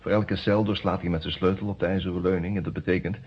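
A man's voice: low-pitched, little pitch movement, fast, slight background noise, natural voice. An actor in a radio play voicing a smug, worldly-wise lawyer in prison, telling his story in a chatty, wry way. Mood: wry, confiding. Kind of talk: Dutch radio drama acting, conversational storytelling. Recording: dull, muffled old radio recording.

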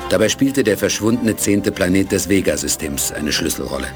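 A man narrating a radio play in a steady storytelling voice. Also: deep voice, moderate pace, music in the background.

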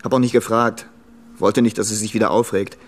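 An actor playing a bitter, defensive boyfriend in a radio crime drama, talking bluntly and colloquially. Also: male voice, on the low side, fairly even intonation, fairly quick, close to an everyday voice. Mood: troubled recounting. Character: defensive, bitter, rough-edged boyfriend of a nurse.

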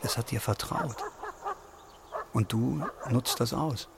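An actor playing a quiet, squeamish, introverted middle-aged man, halting and subdued, in a naturalistic audio drama. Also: mid-range voice, gravelly, very noisy. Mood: shaken, reproachful.

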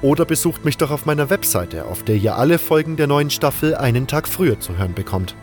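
A man's voice: a little high, big pitch swings, fairly quick. A man narrating a scripted fantasy audio drama in a dramatic storyteller's style. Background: music.

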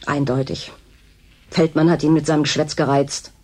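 A blunt, disillusioned station worker. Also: female voice, deep voice, flat delivery, moderate pace, a little mellow. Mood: bitter, resigned. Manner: curt, sardonic. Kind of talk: German radio drama acting.

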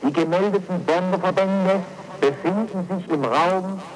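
A man giving a 1940s military radio warning in a clipped, emphatic and deliberate manner. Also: high voice, soft background music. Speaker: air defence radio announcer. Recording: noisy 1940s wartime radio broadcast.

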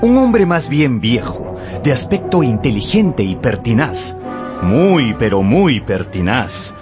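A man's voice: mid-range voice, sing-song, slow. A man narrating a radio drama with theatrical, emphatic storytelling. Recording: music behind the voice.

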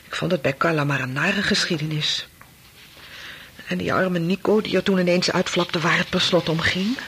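An actress playing an anxious, probing wife in a Dutch radio play, husky and hesitant, repeating words as she presses her questions. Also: fairly low pitch, moderate pace, a bit bright, slight background noise. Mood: indignant. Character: anxious, probing wife of a doctor, brooding and insistent.

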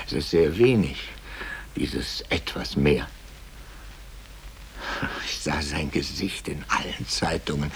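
Deep, gravelly, brooding priest. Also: male voice, heavily acted. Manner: intense, halting, grave. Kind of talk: German radio play acting. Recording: hissy old radio play recording.

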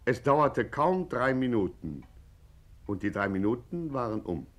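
An actor as a mock-serious documentary narrator in a vintage radio play, formal and measured. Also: male voice, mid-range voice, sing-song. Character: solemn mock-documentary male narrator of gangster chronicle. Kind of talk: scripted 1950s radio narration.

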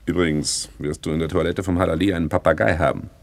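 A man's voice: low voice, steady tone, quick pace, vocal fry. An actor playing a slick, self-important small-time crook turned businessman, pompous and self-satisfied, in a radio play. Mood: smug. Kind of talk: 1960s German radio play acting.

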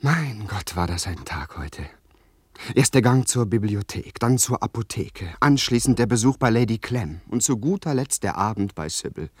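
An actor in a German radio play playing a highly strung, scheming young English gentleman with theatrical, well-spoken delivery. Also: lively intonation, moderate pace, breathy edge, dry recording, clean studio sound. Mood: weary, lovestruck.

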